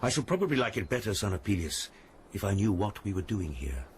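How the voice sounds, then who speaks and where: A man's voice: on the low side, big pitch swings, fast talker, slight background noise. A man playing a sceptical, grumbling ancient Greek warrior in an audio drama, with a dry, sardonic manner. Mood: sardonic, bored. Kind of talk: historical audio drama acting.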